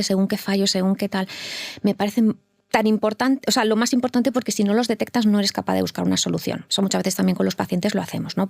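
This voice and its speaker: A woman's voice: medium pitch, gravelly, no echo. A middle-aged woman from Madrid, a fertility nurse giving thoughtful interview answers and often repeating words as she searches for them. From Madrid, Spain.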